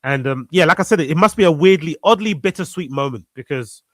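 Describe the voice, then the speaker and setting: A man's voice: on the high side, animated, fast, a bit bright, no room sound, studio quality. A man in a casual conversation, earnest and reflective, repeating phrases for emphasis. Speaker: podcast guest.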